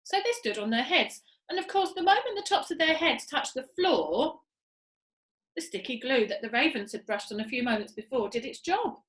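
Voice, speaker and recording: A woman reading a children's story aloud with expressive storytelling delivery. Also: high voice, moderate pace. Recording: echoey room.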